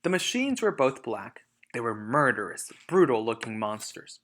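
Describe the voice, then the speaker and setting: A man's voice: medium pitch, big pitch swings, moderate pace, fairly bright tone. A man reading a storybook aloud as a teacher, emphatic in narration and explanatory in asides.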